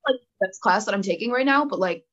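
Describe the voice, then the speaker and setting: A woman's voice: mid-range voice, expressive, fast talker, fairly bright tone. Teenage girl guest, slightly muffled sound. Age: teens. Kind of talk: casual chat.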